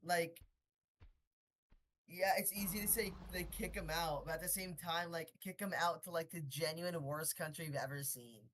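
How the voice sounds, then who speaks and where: An American man in a casual voice chat with a slightly high voice. He talks earnestly and rambles, circling back to repeat his points. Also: fairly even intonation, normal speed, a bit bright. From United States. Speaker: voice chat participant.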